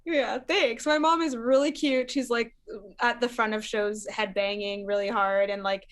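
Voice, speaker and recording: Young woman, musician guest, chatty with fillers and laughs, slightly high pitch. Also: fairly even intonation, measured pace, clean tone. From Syria. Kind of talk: casual interview chat.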